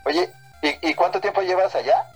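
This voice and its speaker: A man's voice: high-pitched, normal speed, slightly rough. Man, podcast host, laughs a lot, casual joking tone, faint music behind. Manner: laughs often, jokey interviewer. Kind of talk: casual chat.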